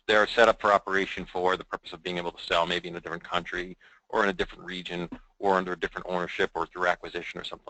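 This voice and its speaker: A man with a deep voice and a crisp, forward tone presents a software demo. He talks informally and conversationally, as if walking colleagues through slides. The sound is close and dry. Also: no room sound, low-quality recording. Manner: conversational, checking in with "right?".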